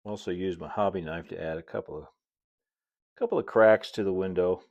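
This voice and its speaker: A man's voice: low-pitched, brisk, darkish tone. A middle-aged man narrating a hands-on hobby tutorial in a casual, folksy way with chatty asides. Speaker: model railroad builder and video host.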